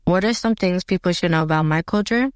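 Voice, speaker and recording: A young woman from California, Arabic her first language, giving a measured, sincere prepared statement. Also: fairly low pitch, clean tone, no echo, studio quality. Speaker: interviewee.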